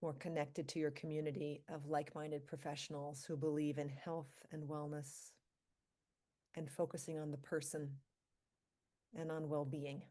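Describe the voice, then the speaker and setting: Low-voiced woman guiding a meditation. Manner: calm, slow, pausing between short phrases. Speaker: meditation leader, physician. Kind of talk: guided meditation, live group session.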